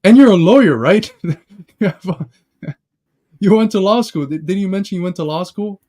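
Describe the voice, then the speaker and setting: A man's voice: high voice, normal speed, warm tone. A man hosting a live reaction stream, explaining ideas with everyday examples in a casual, lecturing way. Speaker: stream host.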